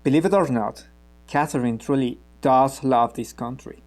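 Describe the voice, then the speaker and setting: A man playing a guarded, cynical revolutionary who explains himself in a measured way in a naturalistic radio play. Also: medium pitch, a little mellow, lightly acted. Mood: guarded, wry. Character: guarded, cynical male member of an armed revolutionary group.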